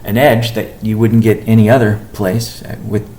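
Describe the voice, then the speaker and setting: A man giving a company update on a conference call as its chief executive, speaking in a measured, halting way with deliberate pauses. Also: on the low side, flat delivery, warm tone, slight background noise. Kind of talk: business conference call presentation.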